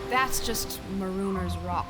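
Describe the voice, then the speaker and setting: A woman's voice: mid-range voice, a little slow, natural voice. A woman playing a cocky, playful flying boy who leads a band of children in an audio drama. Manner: boyish, breezy, bossy. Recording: music under the voice.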